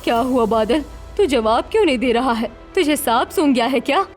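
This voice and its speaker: Woman doing a sharp-tongued cartoon mother-in-law, suspicious, middle-aged, music in the back. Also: high voice, lively intonation, a little fast. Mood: demanding, sarcastic. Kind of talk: Hindi animated family melodrama voice acting.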